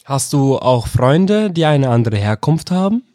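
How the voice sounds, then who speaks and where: A man's voice: mid-range voice, big pitch swings, a little slow, mellow, no echo. Dry-sounding male interviewer from Iraq. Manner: informal, rephrases his questions several ways. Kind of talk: casual youth interview.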